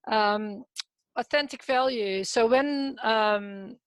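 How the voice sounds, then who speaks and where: A woman facilitating a video call panel, briskly welcoming each speaker. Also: on the high side, no echo.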